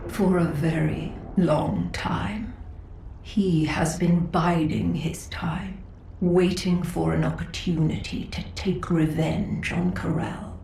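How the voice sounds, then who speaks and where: Frail, creaky-voiced female council grand master. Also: fairly low pitch, fairly even intonation, warm tone, reverberant, a little hiss or hum. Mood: grave, weary. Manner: measured, formal, halting. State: unwell, coughing. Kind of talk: video game story dialogue.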